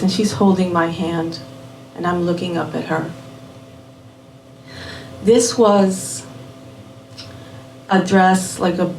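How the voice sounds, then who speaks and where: A woman raised in Miami telling a personal story live at a small venue, unhurried and measured, dropping in Spanish words. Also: fairly low pitch, slight background noise. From born in Puerto Rico, raised in Miami. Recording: roomy venue audio from a distant mic.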